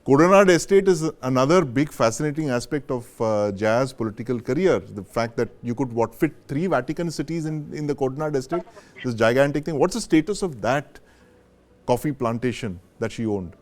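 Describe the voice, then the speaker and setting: A man's voice: medium pitch, brisk. A man with an Indian accent hosting a news discussion. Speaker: host.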